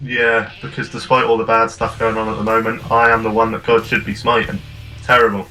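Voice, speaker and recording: A man's voice: lowish voice, little pitch movement, a little fast, bright, forceful tone, soft background music, poor audio. A young British man co-hosting a casual comedy review, rambling and self-mocking with frequent restarts. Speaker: co-host of a review show.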